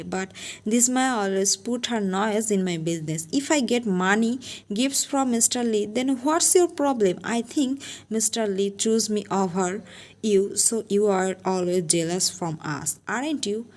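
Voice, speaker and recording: A woman's voice: mid-range voice, darkish tone, natural voice. A woman voicing a spiteful, vain mother who speaks in a bitter, resentful way.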